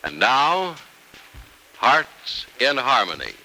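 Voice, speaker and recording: A male announcer reads in polished 1940s radio style. His mid-pitched voice is very sharp and swings widely in dramatic delivery. The recording carries faint old noise. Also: sing-song, takes it slowly, rough recording. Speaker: announcer and narrator. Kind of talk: 1940s radio scripted narration and ad reading.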